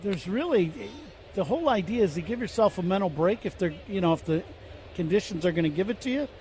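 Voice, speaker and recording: A man giving relaxed, rambling baseball color commentary on the radio, full of fillers, speaking as a former player. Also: high voice, fast talker, slight background noise. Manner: rambling, filler-heavy analysis.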